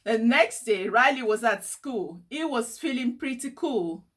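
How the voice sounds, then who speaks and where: Slow, animated woman reading a storybook. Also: on the high side, a little hiss or hum. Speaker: storybook reader. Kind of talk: expressive children's read-aloud.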